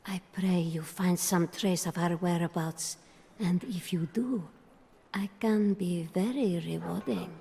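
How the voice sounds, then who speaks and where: An actress playing a haughty, calculating brothel madam in a fantasy video game, in a theatrical manner. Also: female voice, fairly low pitch, fairly even intonation, measured pace, vocal fry, warm tone, breathy edge, full character voice. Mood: pleading, enticing. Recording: long hall reverb.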